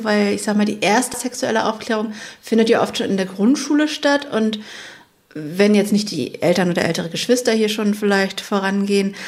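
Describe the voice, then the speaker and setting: A woman's voice: medium pitch, no room sound. A woman hosting a podcast, narrating a scripted introduction and asking her guest questions. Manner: clear, measured storytelling delivery. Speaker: podcast host and interviewer.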